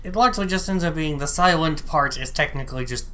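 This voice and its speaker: A woman co-hosting a podcast, making announcements and asides in a deadpan manner. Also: low-pitched, fast talker.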